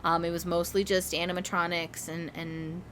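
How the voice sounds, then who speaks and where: A woman's voice: on the low side, little pitch movement, moderate pace, a bit bright, a little hiss or hum. A woman co-hosting a casual review, talking informally and candidly as she recalls what happened. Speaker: co-host of a haunted attraction review. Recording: music under the talk.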